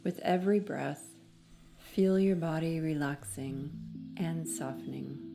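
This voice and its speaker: A woman narrating a guided meditation in a soothing, measured manner with long pauses between phrases. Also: low-pitched, creaky, over music.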